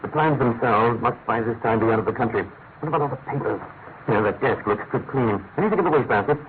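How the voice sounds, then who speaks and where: Brisk, theatrical English detective played by a man. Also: medium pitch, a little hiss or hum, close to an everyday voice. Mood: absorbed, intent. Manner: theatrical, precise, commanding. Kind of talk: classic British radio drama acting. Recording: tinny band-limited old radio recording, music behind.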